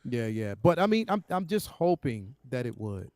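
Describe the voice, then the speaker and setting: A man's voice: mid-range voice, sing-song, normal speed, a little mellow, no echo. A man co-hosting a talk show, discussing things casually as a fan and putting questions to the host.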